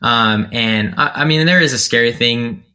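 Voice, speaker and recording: A man's voice: medium pitch, moderate pace, bright, forceful tone. A man from North Carolina, a content creator talking about himself as an interview guest in a reflective way, with many fillers and restarts. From North Carolina, USA. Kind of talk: casual interview talk.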